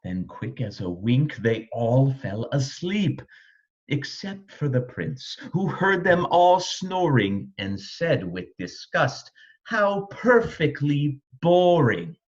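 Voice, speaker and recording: Male children's storyteller: slow, very lively intonation, rhythmic verse reading, slightly dull recording. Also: medium pitch. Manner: rhythmic, animated reading of rhyme. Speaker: storybook reader. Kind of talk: expressive scripted children's storytelling.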